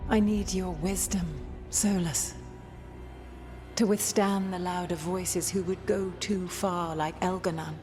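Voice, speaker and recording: A woman's voice: on the low side, fairly even intonation, slow pace, over music. A woman playing a solemn, persuasive ancient spirit turned elf in a video game, formal and imploring. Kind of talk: video game dialogue acting.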